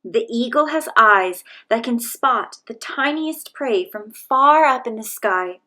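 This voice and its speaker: A woman with a somewhat high voice reads a picture book aloud. She speaks gently and steadily, pitching her words to young listeners. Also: expressive. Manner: gentle, measured, speaking to children. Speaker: children's book reader.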